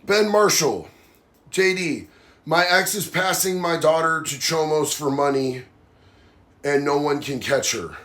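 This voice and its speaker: A man's voice: a little high, measured pace, fairly bright tone. Nasal, heated male livestream host. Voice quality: nasal from a sinus infection. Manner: blunt, heated, says bro a lot. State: sinus infection. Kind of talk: casual live chat reading comments.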